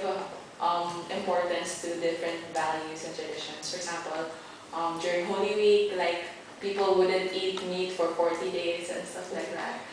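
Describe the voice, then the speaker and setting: A young Filipina woman gives a casual interview in a chatty, giggly storytelling manner. She is recorded from a distance in a very echoey room, with faint noise underneath. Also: on the low side. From Philippines. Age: young adult. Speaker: interviewee, volunteer trainer.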